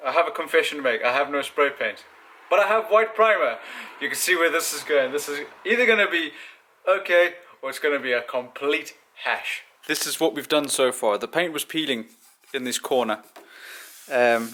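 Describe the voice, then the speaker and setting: A man's voice: a little high, sharp tone. A man who grew up in Zimbabwe, chatting casually and self-deprecatingly about fixing up his car in his home garage. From born in South Africa, grew up in Zimbabwe. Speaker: car vlogger. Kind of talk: casual chat while working on a car.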